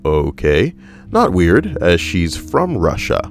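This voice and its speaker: A man co-hosting a casual podcast, reading out strangers' stories with wry, deadpan, rambling asides. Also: sits low, takes it slowly, creaky. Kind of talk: casual joking commentary.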